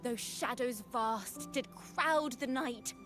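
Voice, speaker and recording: Game bard, high female voice, slow grand declamation, heroic verse, music behind. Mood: triumphant, grand. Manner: dramatic, declamatory. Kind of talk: theatrical verse recitation in a video game.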